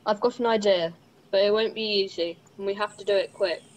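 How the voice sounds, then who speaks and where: Determined young crane driver, a rookie lad played by a teenage girl, mid-pitched, plain radio-play delivery, noisy background. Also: fairly even intonation, brisk, lightly acted. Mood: determined, urgent. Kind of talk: school radio play acting. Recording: clearly noisy background ambience.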